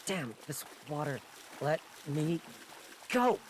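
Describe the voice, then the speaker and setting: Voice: mid-range voice, very animated, slow, background noise. A streamer voicing an exasperated young man in a visual novel, dramatic, sweary and bewildered.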